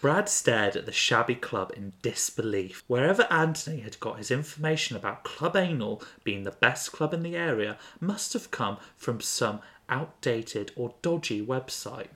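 A man's voice: medium pitch, lively intonation, normal speed, few pauses, fairly bright tone. A man co-hosting a comedy podcast, reading his own old fiction aloud and often laughing at his writing between bits of banter. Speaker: podcast co-host.

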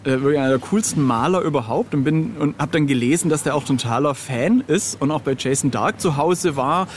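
A man's voice: fairly high pitch, fairly quick. A man in his late forties from southern Germany telling anecdotes casually as an interview guest, quoting others in direct speech. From southern Germany (Swabia). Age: late 40s. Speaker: interview guest, freelance illustrator and cover artist. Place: outside at a street café in a city. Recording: ambient noise of an outdoor café.

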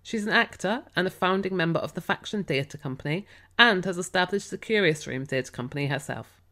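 A woman with a slightly low, croaky voice, still getting over a cold, hosts an author interview podcast. She reads her introduction from a script and then moves on to friendly questions. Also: lively intonation, fairly quick, pushed and bright. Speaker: podcast host.

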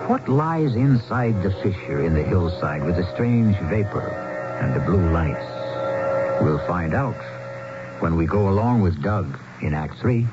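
Theatrical male mystery host over loud music. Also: sits low. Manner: theatrical, wry, deliberate. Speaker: host and narrator of a radio drama. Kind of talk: scripted 1970s radio mystery narration. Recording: dull lo-fi radio broadcast, loud music behind.

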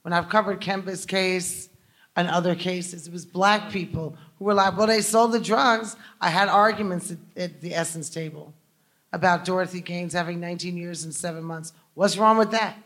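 A woman's voice: fairly low pitch, steady tone, moderate pace, a bit bright. A woman journalist moderating a conference panel, asking long, rambling questions with emphatic asides.

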